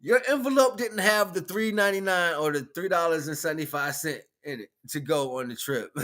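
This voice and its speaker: An American man hosting a livestream, giving an informal spiritual monologue in an animated, colloquial, preaching way with repeated phrases. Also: on the high side, moderate pace. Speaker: livestream host.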